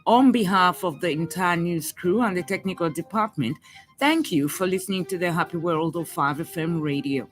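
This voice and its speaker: A woman's voice: on the low side, moderate pace, faint music behind. A woman with a Zambian accent reads a scripted radio news bulletin as the news reader.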